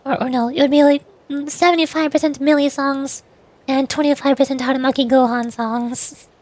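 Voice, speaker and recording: A woman's voice: sits high, fairly even intonation, normal speed, darkish tone, dry recording. A woman chatting casually on a livestream, rambling, repeating and correcting herself as she talks about voice acting. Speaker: streamer and amateur voice actor.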